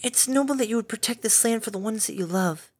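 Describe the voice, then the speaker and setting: A woman voicing a timid, gentle female pegasus pony who speaks softly and soothingly. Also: a little high, fast talker, slightly rough, voice pushed up. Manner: soft, soothing, gently pleading.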